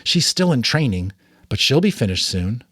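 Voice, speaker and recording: A man's voice: mid-range voice, sing-song, normal speed, fairly bright tone, breathy edge, no room sound, clean studio sound. A man voicing a curious, earnest boy in a storybook reading, naive and eager.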